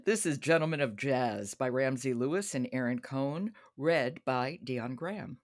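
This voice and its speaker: A woman hosting a casual audiobook review chat, speaking in an expressive, playful and emphatic way. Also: extremely low pitch, normal speed. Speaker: podcast host.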